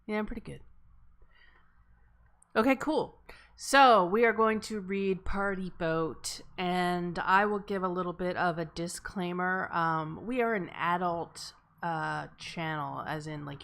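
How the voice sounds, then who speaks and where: A woman's voice: fairly low pitch, a bit bright. A woman hosting a live table read, chatting casually and making announcements. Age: adult.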